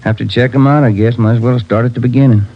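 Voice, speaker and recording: A man's voice: mid-range voice, fairly even intonation, fast talker, faint music behind, natural voice. An actor playing a dry, wisecracking male police detective partner in a 1950s radio drama. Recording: dull old radio transcription with faint hiss.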